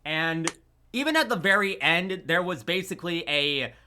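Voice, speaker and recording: A man's voice: on the high side, animated, normal speed, sharp tone, slight background noise. A man chatting casually about his creative work, rambling and often restarting his sentences. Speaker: audio drama voice actor and editor. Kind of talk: casual chat.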